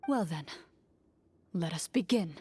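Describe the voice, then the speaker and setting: An actress playing a composed young woman who narrates a fantasy game in a formal, measured, solemn way. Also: on the low side, animated, a little mellow, breathy edge, heavily acted. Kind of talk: scripted game-master narration in a video game.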